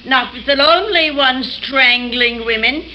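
A piercing, high-voiced old small-town lady, folksy and scolding. She speaks emphatically, in 1950s radio drama style, with faint noise on the recording. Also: unhurried, bright, forceful tone, heavily acted, poor audio. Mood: scolding alarm. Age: older.

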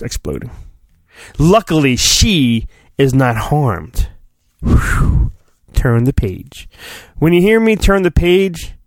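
A man's voice: medium pitch, dry recording, studio quality. A man hosting a podcast, giving a comic, mock-earnest dramatic storybook reading with chatty asides and his own sound effects.

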